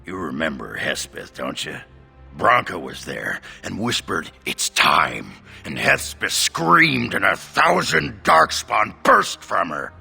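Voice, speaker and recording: A gruff male dwarf warrior for a fantasy game. The voice is extremely deep and thick with gravel, slow and crude, swinging widely as he rambles bluntly. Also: big pitch swings, bright, forceful tone, soft background music, heavily acted. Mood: gruff, uneasy. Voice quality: gravelly, growling. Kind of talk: video game voice acting.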